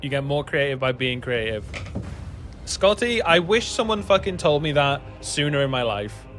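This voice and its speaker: A man's voice: on the high side, very animated, moderate pace, fairly bright tone. A man in his thirties from West Wales with a Welsh accent, chatting casually to his stream while he plays, swearing often and laughing easily. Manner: swears casually, laughs a lot, rambles to chat. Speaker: game streamer. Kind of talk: casual live-stream chat. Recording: music playing behind.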